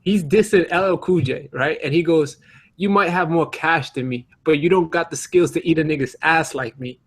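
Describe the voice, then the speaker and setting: A man's voice: fairly high pitch, moderate pace, breathy edge. A man co-hosting a podcast, arguing casually among friends and insistently repeating words for emphasis. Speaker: podcast co-host.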